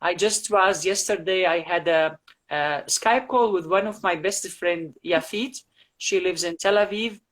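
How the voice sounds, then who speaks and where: A tired man from Syria, a guest on a casual live-stream chat, who speaks haltingly and repeats his words. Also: high-pitched, fairly bright tone.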